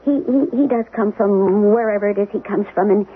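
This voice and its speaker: An actress playing a worried, pleading wife in a 1970s radio drama, halting and placating as she speaks. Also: mid-range voice, a little hiss or hum, lightly acted. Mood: anxious, placating. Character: worried, pleading wife trying to calm husband. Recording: muffled, band-limited 1970s radio broadcast.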